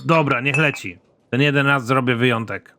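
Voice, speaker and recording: Casting: male actor as trigger-happy cartoon trooper, gruff and crude, dry deadpan delivery with a hard, bright edge. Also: mid-range voice, moderate pace, slight background noise, close to an everyday voice. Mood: grudging. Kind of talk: animated comedy dialogue.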